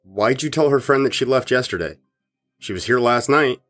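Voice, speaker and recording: An actor playing a suspicious, confrontational rock band member in an audio drama, speaking in an insistent, accusing way. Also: male voice, medium pitch, lively intonation, normal speed, fairly bright tone, natural voice.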